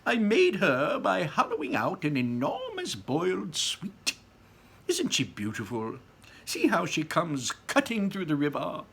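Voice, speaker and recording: An eccentric storybook candy maker, acted out by a man, exclaiming in a high, theatrical voice. He is bossy and gleeful, stretching his calls and leaping around in pitch. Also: wildly swooping pitch, a little slow, gravelly, fairly bright tone, husky, heavily acted. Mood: proudly beaming. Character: eccentric, excitable male candy factory owner, bossy and gleeful.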